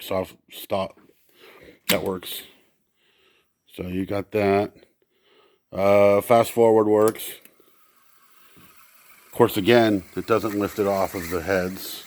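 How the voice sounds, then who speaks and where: A man giving a casual, unscripted demonstration, explaining things in a halting, rambling way and often correcting himself. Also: low-pitched, steady tone, darkish tone, dry recording. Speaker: presenter testing vintage audio gear.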